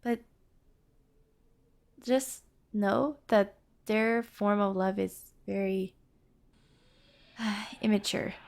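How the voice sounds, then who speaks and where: A woman's voice: on the high side, gravelly. A woman playing a sweet, flustered young woman in a scripted roleplay drama, speaking hesitantly and affectionately, easily exasperated. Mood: teasing, sisterly. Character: sweet flustered young woman introducing her ghost family to a partner. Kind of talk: scripted roleplay audio drama, one-sided conversation.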